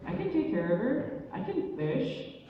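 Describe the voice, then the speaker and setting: A woman's voice: high-pitched, sing-song, unhurried, pitched above the performer's own voice. A woman voicing a lovesick, easygoing male blue jay shapeshifter in a folk tale, casual, sheepish and sincere. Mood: unsure, casual. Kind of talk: informal oral storytelling dialogue. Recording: echoey hall from far off, phone-like tinny.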